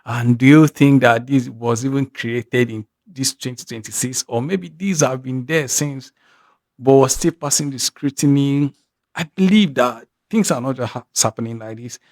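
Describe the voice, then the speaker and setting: A man with a Nigerian accent hosts a reaction video in a rambling, musing way and often restarts his sentences. His voice sits at an ordinary middle pitch. The sound is dry and close, with no room echo. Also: normal speed, darkish tone. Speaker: reaction channel host. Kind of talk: casual reaction commentary.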